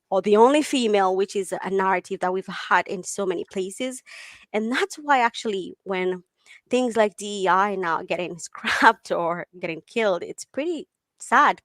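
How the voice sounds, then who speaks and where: A woman whose first language is Swahili talks in a chatty, animated way and often laughs between thoughts. Her voice sits in a middle pitch for a woman. The sound is close and dry, with no room in it. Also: dry recording. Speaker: guest tech founder. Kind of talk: conversational interview answers. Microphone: video call.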